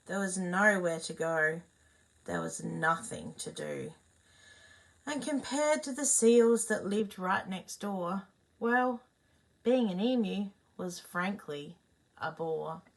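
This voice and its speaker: A woman reading a children's picture book aloud, expressive, pausing to ask her young listeners questions. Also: on the low side, creaky. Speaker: storyteller.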